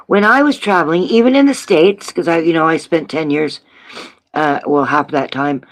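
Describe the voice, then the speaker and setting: A man from Canada with a Canadian accent, chatting casually on a panel in a halting way, repeating phrases. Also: fairly high pitch, poor audio. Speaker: panel participant.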